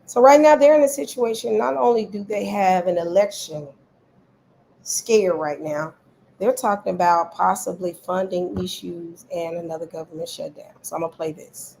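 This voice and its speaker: A woman's voice: lowish voice, moderate pace, vocal fry, warm tone, slight background noise, rough recording. A woman hosting a casual live chat, congested and losing her voice. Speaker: live stream host.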